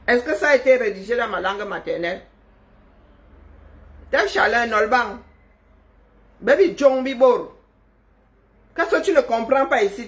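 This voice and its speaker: A woman's voice: on the high side, a bit bright. A woman giving mocking, scolding commentary straight into her phone or webcam on a livestream. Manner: sarcastic, scolding, teasing. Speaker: online commentator. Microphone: webcam or phone on a screen.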